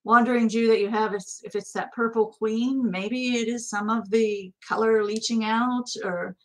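A woman's voice: on the high side, fairly even intonation, normal speed, low-quality recording. A middle-aged woman with an American accent, co-hosting a casual, conversational question-and-answer chat. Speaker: co-host. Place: small indoor office.

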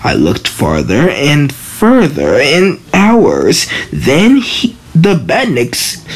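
Woman as obsessive villain's minion, deferential, earnest, unhurried, very animated pitch, cartoon comic dub. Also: slightly rough, full character voice. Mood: devoted. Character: devoted, obsessive villain's lab assistant.

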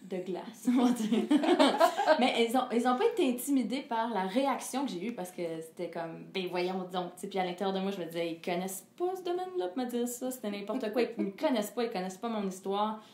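A woman's voice: medium pitch, animated, brisk, a little mellow. A middle-aged woman with a Québécois French accent, a singer speaking as an interview guest, earnest and reflective, hesitating often and laughing as she talks. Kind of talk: candid personal conversation. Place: living room with a fireplace, indoors. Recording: echoey room with faint hiss.

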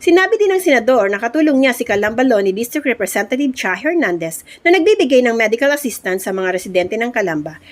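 A woman news reporter with a Filipino accent narrates a scripted report. Her voice sits fairly high, and she moves through the copy at a quick pace. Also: sing-song.

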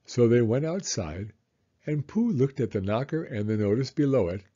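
A man's voice: medium pitch, lively intonation, moderate pace, warm tone, slightly rough, lo-fi sound. A man reading a children's story aloud as scripted narration. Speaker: story reader.

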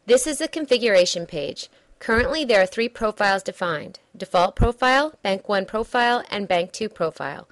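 A woman reading a scripted software demo narration in a measured, instructional way. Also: medium pitch, expressive, no echo.

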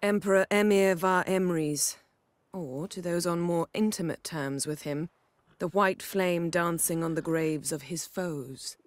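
A woman playing a poised, sharp-tongued sorceress in a video game, speaking in a measured, formal, sardonic way. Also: lowish voice, no echo, lightly acted. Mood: haughty, wry. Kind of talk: video game cutscene acting.

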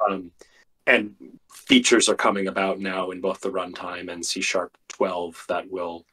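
Man, deep voice, husky and worn, thoughtful technical explainer, lots of ums. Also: little pitch movement, a little slow, bright, forceful tone, poor audio. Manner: thoughtful, many fillers and restarts. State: losing his voice. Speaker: software engineer guest. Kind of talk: technical interview talk.

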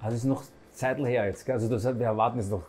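A man's voice: mid-range voice, steady tone, a little mellow, faint music behind. A man in his thirties with an Austrian accent, interviewing a football player in a casual, joking way. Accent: Austrian German. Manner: quick, joking, pushes with follow-up questions. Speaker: sports show reporter, interviewer. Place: football club café with shelves, indoors.

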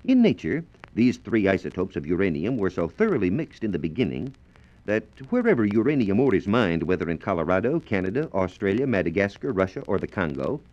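A dull, lo-fi 1940s transcription disc carries an American man's low voice. He explains science as a formal, carefully worded radio script, with lively rises and falls in his intonation. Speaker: science reporter and presenter.

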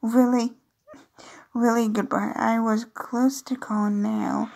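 A woman's voice: fairly high pitch, flat delivery, slow, vocal fry, noisy background. A woman doing casual, playful gameplay commentary, reading on-screen dialogue aloud with chatty, amused asides. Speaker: gaming video creator.

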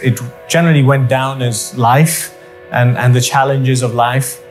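Measured man from Sri Lanka. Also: mid-range voice, fairly even intonation, soft background music. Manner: measured, many ums and uhs. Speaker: development program official. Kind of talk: to-camera interview.

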